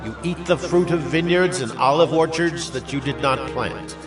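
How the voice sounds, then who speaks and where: A man's voice: a little high, normal speed, recorded from a distance, natural voice. Solemn aged leader played by a man, music behind. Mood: proclaiming. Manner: solemn, declamatory, emphatic. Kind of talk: dramatized scripture oratory.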